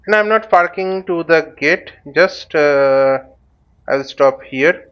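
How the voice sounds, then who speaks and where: A slightly dull, lo-fi recording of a man with a fairly high voice. He gives a casual walkthrough as a tutorial host, thinking aloud in short, halting phrases. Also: clean tone. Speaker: host of a flight simulator tutorial. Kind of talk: casual spoken walkthrough while flying a simulator. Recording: dull, lo-fi microphone sound.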